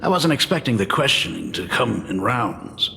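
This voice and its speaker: Brief: video game dean, middle-aged father, apologetic and lively in pitch, with a gravelly edge. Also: moderate pace, a little hiss or hum, full character voice. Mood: measured. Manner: polite, hesitant, apologetic. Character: male dean, father, gentle and regretful. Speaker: school dean. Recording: reverberant game audio with music.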